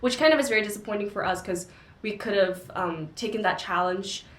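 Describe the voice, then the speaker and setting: A woman's voice: medium pitch, a little fast. Chatty young woman in echoey room. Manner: chatty, many fillers. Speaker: co-host of a book discussion channel. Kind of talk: casual book discussion.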